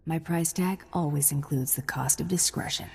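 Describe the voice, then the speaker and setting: Cool alien mercenary for a game: low, husky, deadpan, confident and terse. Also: female voice, moderate pace, in a large hall, heavily acted. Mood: dry challenge. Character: cool, tough female alien bounty hunter.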